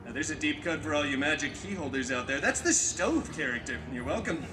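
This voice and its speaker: A man's voice: fairly high pitch, lively intonation, fairly quick, background noise, close to an everyday voice. A man playing a wisecracking, flamboyant superhero who tells stories in a chatty, theatrical, sarcastic way at a live comedy show. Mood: chatty, sardonic. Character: wisecracking, flamboyant adult male superhero storyteller. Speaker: theme park show performer. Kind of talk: live comic storytelling stage show. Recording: echoey live amplified show with music.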